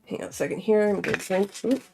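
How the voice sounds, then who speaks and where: A woman's voice: medium pitch, fast, gravelly, mellow, soft background music, poor audio. A young woman chatting casually as she crafts, thinking aloud and filling her talk with "like.". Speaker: crafting vlogger. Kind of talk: casual chat while crafting.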